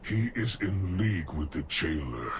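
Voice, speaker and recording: Gravelly, stern fantasy warrior. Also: male voice, sits low, big pitch swings, measured pace, noisy background, heavily acted. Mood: grave, resolute. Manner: grave, formal. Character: stern, loyal male warrior escort in a dark fantasy realm. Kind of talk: video game cinematic acting.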